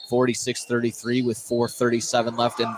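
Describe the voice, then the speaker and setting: Male play-by-play announcer, mid-pitched, excitable, live basketball radio, noisy gymnasium ambience. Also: a little fast. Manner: excitable, exclaims at big plays. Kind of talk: live radio sports commentary. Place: indoor high school gymnasium, courtside. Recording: noisy live gym sound. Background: loud gym ambience.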